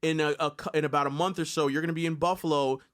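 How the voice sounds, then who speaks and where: A man hosting a podcast in casual, unscripted chat, talkative and quick to exclaim. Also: a little high, steady tone, a little hiss or hum. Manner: chatty, self-described yapper, exclaims often. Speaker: podcast host.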